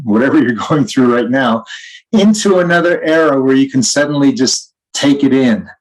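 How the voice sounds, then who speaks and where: A man with a medium-pitched voice chats as a podcast co-host. He speaks reflectively, working through ideas and personal anecdotes. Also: poor audio. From Canada. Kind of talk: conversational podcast discussion.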